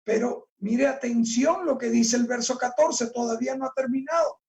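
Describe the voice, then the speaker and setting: A male pastor preaching a sermon, reading scripture aloud and explaining it with deliberate emphasis on key words. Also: very high voice, gravelly, darkish tone, far from the mic. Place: church.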